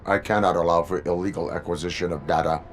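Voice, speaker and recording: An actor playing a stoic male cyborg police officer, speaking in flat, clipped, formal lines in a video game cutscene. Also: sits low, fast, a little hiss or hum, close to an everyday voice. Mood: stern.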